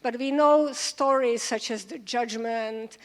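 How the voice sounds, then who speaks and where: A woman moderating an on-stage interview, speaking unscripted in a halting, careful way with restarts. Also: fairly high pitch, steady tone. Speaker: moderator, scholar. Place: large event hall stage. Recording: echoey hall with long reverb.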